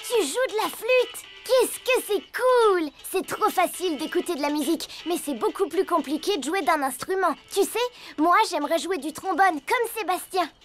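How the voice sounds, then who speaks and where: A small, friendly cartoon elephant child. The voice is tiny and very high, chirpy and earnest, eager to help. Light music sits behind it. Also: female voice, animated, moderate pace, few pauses. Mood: enthusiastic. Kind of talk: French cartoon dubbing.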